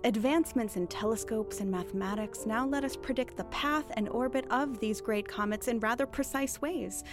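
Woman acting a sly, high-voiced lady thief. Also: normal speed, over music, close to an everyday voice. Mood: sly, explanatory. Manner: articulate, mock-courteous, teasingly self-assured. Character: cunning, bookish woman thief posing as a witch. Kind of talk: audio drama acting, period dialogue.

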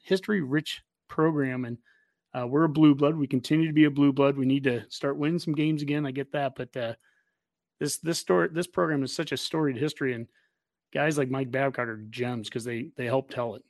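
A man's voice: medium pitch, steady tone, a little fast, darkish tone, no room sound, clean studio sound. Enthusiastic man hosting a casual sports podcast. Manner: enthusiastic, effusive. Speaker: podcast host.

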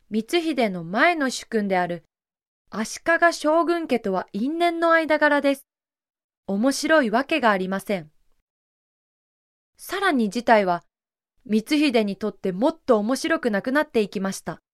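High-pitched woman narrating history. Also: clean tone, no echo, clean studio sound. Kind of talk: scripted dramatic historical narration.

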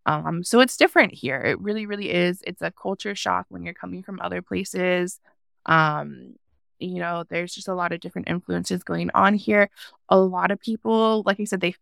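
A woman's voice: lowish voice, vocal fry, fairly bright tone, smooth, no room sound, studio quality. A young woman from Hawaii, a farmer guest talking in a casual interview, thoughtful and full of "um" fillers.

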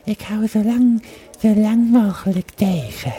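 A man's voice: unhurried, warm tone. A man voicing a brave, desperate female Roman soldier in an audio drama, urgent and strained. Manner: urgent, high strained delivery.

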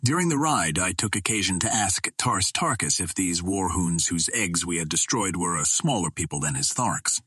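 Low-voiced man narrating an adventure audiobook. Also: animated, moderate pace, few pauses, sharp tone, slightly rough, dry recording. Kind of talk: scripted narration of a classic adventure novel, first person.

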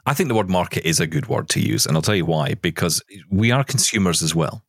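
A man's voice: low-pitched, lively intonation, fast, no echo, clean studio sound. A man hosting a conversational interview, speaking emphatically and repeating phrases to stress his point. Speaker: show host interviewer.

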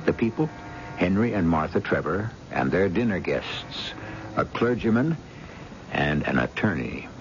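A brooding storyteller introduces radio mystery drama. His voice is very deep and creaky, moving theatrically up and down with deliberate, portentous phrasing. It comes from a dull old broadcast. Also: animated, background noise. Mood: scene-setting. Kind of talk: scripted radio drama narration.

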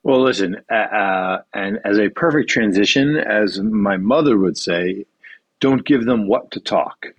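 A middle-aged man co-hosting a podcast, chatting in a halting, easygoing way. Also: lowish voice, husky, dry recording, dull audio. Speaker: podcast co-host. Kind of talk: casual podcast chat.

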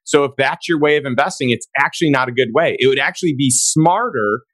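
Hoarse, raspy man, emphatic host. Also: medium pitch, fast, a bit bright, dry recording. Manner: emphatic, enthusiastic. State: hoarse from days of talking. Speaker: podcast host. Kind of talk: casual interview chat.

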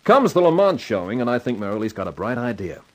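A man's voice: medium pitch, big pitch swings, quick pace, close to an everyday voice. A male actor as a self-assured amateur sleuth in a 1950s radio mystery. His mid-range voice rises and falls freely. He talks in wry, hard-boiled slang and takes charge. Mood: confident. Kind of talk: 1950s radio crime drama acting and narration.

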